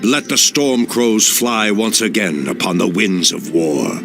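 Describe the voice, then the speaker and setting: A man voicing an ancient, grave elf druid leader in a game cutscene, speaking in a deep, solemn voice. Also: expressive, measured pace. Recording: voice over loud music.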